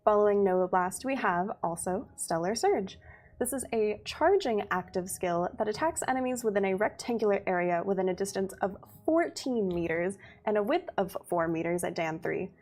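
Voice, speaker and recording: A woman's voice: medium pitch, expressive, normal speed, a little mellow. A woman, a community manager, presenting game update details on a livestream in an upbeat, giggly manner. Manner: upbeat, giggly, reads skill details steadily.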